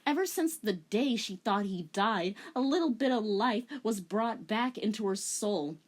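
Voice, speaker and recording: A woman's voice: medium pitch, lively intonation, unhurried. A woman giving a comedic dramatic reading with casual commentary, in a sardonic, giggly manner. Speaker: commentator and reader.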